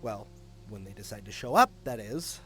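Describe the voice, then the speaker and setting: A man's voice: on the low side, animated, normal speed, fairly bright tone, soft background music. A man acting a confident, cynical police detective with a sardonic, matter-of-fact manner in an audio drama. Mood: sardonic, assured.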